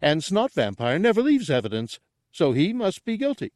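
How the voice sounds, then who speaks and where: A man voicing a dim-witted, excitable, childlike alien guard who speaks eagerly and emphatically in broken, simple grammar, in a storybook reading. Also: on the high side, moderate pace, no echo, clean studio sound, pitched above the performer's own voice. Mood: insistent.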